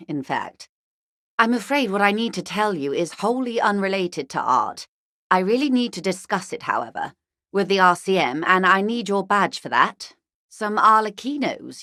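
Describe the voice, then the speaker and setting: An actress playing a poised, well-bred corporate negotiator in a video game, speaking in an articulate, measured, drily ironic way. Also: female voice, mid-range voice, fairly bright tone, dry recording, clean studio sound. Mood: polite concern. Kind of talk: scripted video game dialogue.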